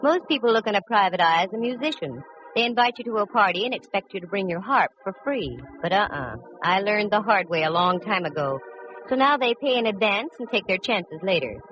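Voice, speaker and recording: An actress playing a sharp-witted, wisecracking female private eye in old radio detective drama, with a wry, brisk, hard-boiled delivery. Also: mid-range voice, animated, lightly acted. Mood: wry narration. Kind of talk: 1940s-50s radio detective acting and narration. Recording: dull vintage radio recording with music.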